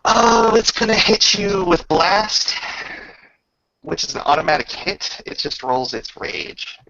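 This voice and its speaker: A man with a gravelly voice acts as game master, casually narrating a tabletop roleplaying game with informal, descriptive talk. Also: sits high, animated, slow pace, sharp tone, no echo, lo-fi sound.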